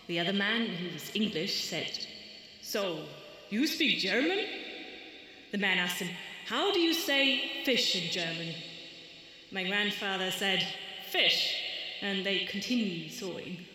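A woman's voice: medium pitch, animated, slow, long pauses, sharp tone. A woman talking as a poet in a thoughtful literary conversation, recorded in an echoey, reverberant hall.

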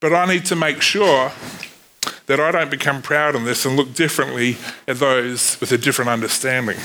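A man's voice: mid-range voice, bright, forceful tone, a little hiss or hum, rough recording. A man in his thirties with a New Zealand accent, preaching a sermon in an earnest way with self-deprecating asides.

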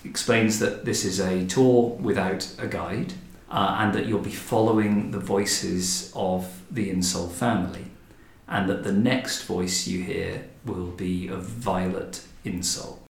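A man's voice: deep voice, fairly even intonation, unhurried, vocal fry. A man hosting a conversational podcast interview about the historic house he directs. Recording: echoey room.

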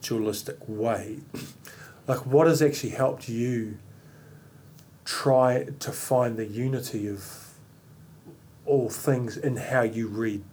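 A man's voice: mid-range voice, slow, darkish tone. A man hosting a casual faith discussion, thinking aloud and rambling through his points with plenty of fillers. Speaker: podcast host.

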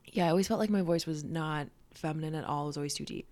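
Young woman podcaster rambling about a tv episode, low gravelly voice, swears a lot. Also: fast talker, a little mellow. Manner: chatty, swears casually, says "like" a lot. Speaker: podcast co-host. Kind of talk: casual chat recapping a sitcom episode.

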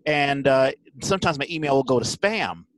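A man's voice: mid-range voice, animated, normal speed, fairly bright tone, no room sound. A man hosting a casual podcast chat, talking in a rambling, stammering way that trails off into asides. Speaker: podcast host.

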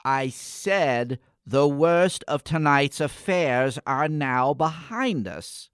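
A man playing a gloomy, pompous and exasperated schoolboy with a wordy, deliberate delivery in a comic radio play. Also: fairly high pitch, lively intonation, clean tone, dry recording. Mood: ominous, deliberate.

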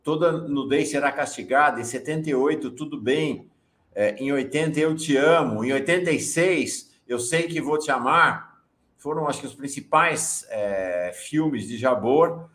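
A man's voice: mid-range voice, unhurried, fairly bright tone. Blunt São man, political commentator. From São Paulo, Brazil. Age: middle-aged or older. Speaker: news show host and commentator. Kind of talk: opinionated live political commentary.

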